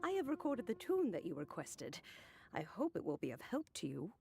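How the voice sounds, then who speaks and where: A woman voicing a gentle, elderly female immortal who speaks in a gracious, unhurried, courteous way. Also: fairly low pitch, wildly swooping pitch, vocal fry, husky, heavily acted. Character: gentle elderly female adeptus, kind hostess.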